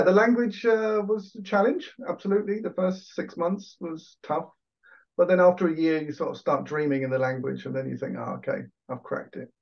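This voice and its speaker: A man's voice: on the high side, gravelly, muffled recording. An Englishman talking casually as an interview guest on a video call, chatty and self-deprecating with lots of fillers. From England.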